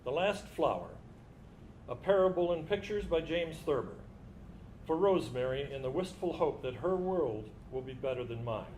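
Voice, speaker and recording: A man with a fairly high voice narrates as a storyteller in a staged reading. The room echoes clearly, with faint noise behind him. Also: animated, normal speed, darkish tone. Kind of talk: dramatized literary storytelling.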